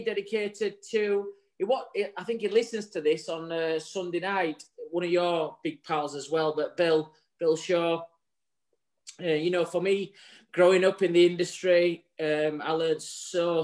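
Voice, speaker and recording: Chatty man on a video call. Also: on the high side. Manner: chatty, swearing, jokey. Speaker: co-host. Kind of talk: casual chat show banter. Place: home room with virtual bar backdrop. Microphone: video call webcam.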